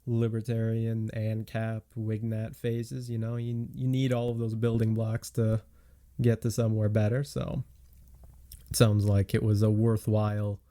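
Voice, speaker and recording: A man's voice: lowish voice, completely flat delivery, a little slow, mellow. A man hosting a podcast, talking casually in a political discussion. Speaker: podcast host.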